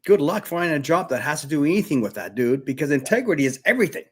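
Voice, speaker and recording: A man whose first language is Spanish jokes around as a guest on a live stream, relaxed and easygoing. His voice sits a little high for a man. He throws "dude" into most sentences. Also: fast, breathy edge. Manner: joking, laid-back, says "dude" often. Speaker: guest, former police officer. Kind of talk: casual live-stream chat.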